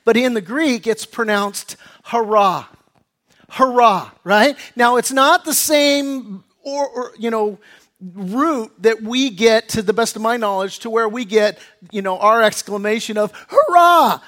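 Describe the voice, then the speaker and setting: An American man preaching a sermon as pastor, reading scripture and growing animated and excited as he explains it. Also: extremely high pitch, measured pace.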